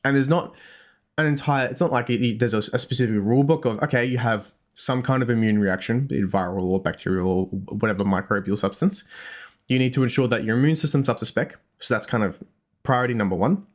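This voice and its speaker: A man's voice: mid-range voice, fast. A young man with an Australian accent, chatting casually on a health podcast, rambling with self-deprecating asides and frequent restarts. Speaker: dietitian podcast host.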